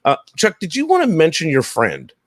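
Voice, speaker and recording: A man's voice: fairly high pitch, huge pitch swings, normal speed, dry recording, clean studio sound. A man in his thirties or forties hosting a casual podcast chat, often repeating words as he stammers through. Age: 30s to 40s. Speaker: podcast host. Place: room at home, indoors.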